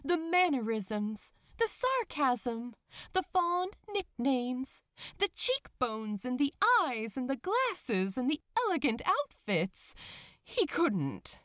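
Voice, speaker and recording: Slow, expressive high-voiced woman storyteller. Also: no room sound. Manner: emotive, theatrical. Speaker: narrator of fan fiction audiobook. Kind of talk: dramatic scripted story narration.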